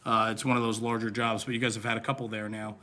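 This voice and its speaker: A man's voice: lowish voice, little pitch movement, quick pace, bright, forceful tone. A man hosting a podcast, chatting casually with his guests and explaining details for the listeners. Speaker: podcast host.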